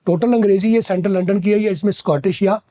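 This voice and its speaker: A man's voice: high-pitched, fairly even intonation, fast, warm tone. A middle-aged man with a Pakistani accent, an English teacher explaining at length and giving advice in a podcast discussion. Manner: authoritative, advising, explains at length in long sentences.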